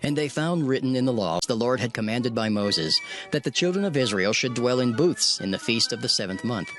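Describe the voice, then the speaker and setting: A man narrating a dramatized scripture reading in a formal, measured storytelling manner. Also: medium pitch, lively intonation, fairly bright tone, soft background music.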